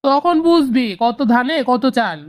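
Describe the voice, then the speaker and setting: A worried mother from a cartoon scolds in a high voice, acted by a woman. She nags in colloquial Bangladeshi, recorded close and dry. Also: lively intonation, unhurried, dry recording. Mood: scolding. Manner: nagging, admonishing. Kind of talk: cartoon dialogue.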